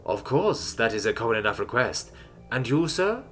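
A man's voice: mid-range voice, sing-song, moderate pace, a bit bright, soft background music. A man voicing a nervous, polite male alien waiter who speaks formally and deferentially in a story. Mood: cheerful.

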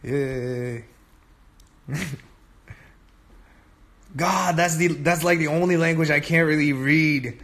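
A young man hosting a casual phone livestream from a dim room at night, reading viewer comments aloud and chatting back in a relaxed way. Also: a little high, takes it slowly, long pauses. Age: young adult. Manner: relaxed, chatty, switching between English and Korean. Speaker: livestream host. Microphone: phone held close at arm's length.

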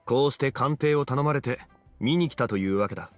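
Actor as refined scholar for an anime game: calm, eloquent, formal, middle register, light music underneath. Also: male voice, mid-range voice, a little fast, lightly acted. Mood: friendly, composed. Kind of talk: Japanese anime game voice acting.

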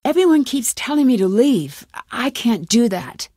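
Young female detective, video game dialogue, slightly high pitch, earnest, determined, questioning, dry recording. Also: sing-song, moderate pace, mellow, dry recording. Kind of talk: scripted game dialogue, isolated lines.

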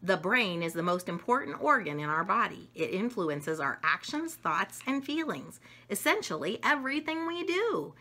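Animated, bright-voiced woman reading aloud. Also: mid-range voice, moderate pace, few pauses. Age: middle-aged. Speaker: storyteller reading picture books. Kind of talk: read-aloud of a children's educational book. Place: home dining room, indoors.

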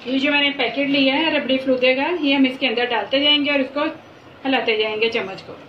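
Cooking host, woman, high voice, plain encouraging delivery; echoey, dull, noisy kitchen recording. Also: fairly even intonation, fairly quick. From Pakistan. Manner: simple, repetitive, encouraging instructions. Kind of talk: home cooking tutorial. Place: home kitchen.

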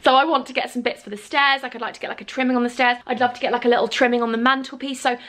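A young British woman chatting casually and effusively to camera in a home vlog, with an affectionate, excitable manner. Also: a little high, rapid-fire. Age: young adult. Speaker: vlogger. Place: rooms inside a house. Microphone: camera mic, at arm's length or on a stand.

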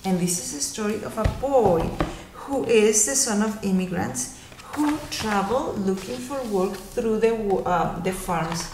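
A woman in her thirties from Mexico with a Mexican Spanish accent, answering interview questions at home in a gentle storytelling tone for children. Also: mid-range voice, lively intonation, measured pace. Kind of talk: casual answers to a video interview. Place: living room at home. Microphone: laptop webcam. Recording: roomy home webcam recording, faint hiss.

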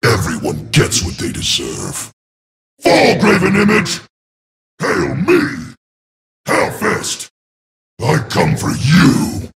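A man's voice: mid-range voice, fairly bright tone, exaggerated voice. A man voicing a huge, menacing demon gatekeeper of hell in a video game, growling and theatrical. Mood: menacing, commanding. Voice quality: gravelly, growling. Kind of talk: video game champion voice lines.

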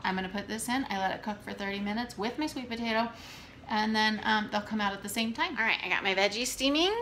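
A woman in her thirties casually showing her meal prep at home, talking in an unhurried way with many fillers. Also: medium pitch, sharp tone. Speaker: fitness coach vlogger. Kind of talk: casual how-to vlog. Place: home kitchen, indoors. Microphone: camera on the counter. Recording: echoey kitchen with room noise.

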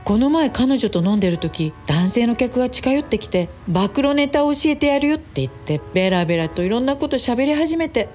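A woman playing a chatty, teasing female office worker in a radio drama, expressive and gossipy. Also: on the high side, a little fast, hardly any pauses, soft background music, lightly acted.

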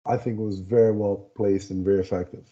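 Guy cohosting a comics podcast, super dark mellow voice, keeps hunting for words, muffled lo-fi call audio. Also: on the low side, flat delivery, a little fast. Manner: rambling, often searching for words. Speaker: podcast co-host. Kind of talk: casual comic review chat. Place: home room, video call. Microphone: headset. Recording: dull lo-fi video call audio.

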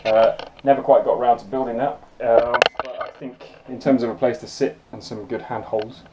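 A man's voice: mid-range voice, little pitch movement, normal speed. A man in his forties giving a casual, matter-of-fact walkthrough of a small yacht's cabin, filmed on a close handheld camera in a cramped space. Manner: matter-of-fact, practical, with frequent ums. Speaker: sailor giving a boat tour. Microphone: handheld action camera close up. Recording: close camera mic with handling clicks and noise.

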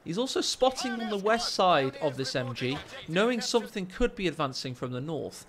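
A man with a deep voice casting a strategy game live, excitable and joking, speaking into a desk microphone in a small room at home. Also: normal speed, crowd noise. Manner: excitable, jokey, rising with the action. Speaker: game caster. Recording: game sound effects under the voice. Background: game gunfire and ambience.

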